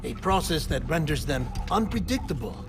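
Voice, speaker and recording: A man acting an earnest, clinical middle-aged technician in a video game, explaining things in a measured, slightly hesitant way. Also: a little high, very animated, music in the background. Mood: clinical, cautioning.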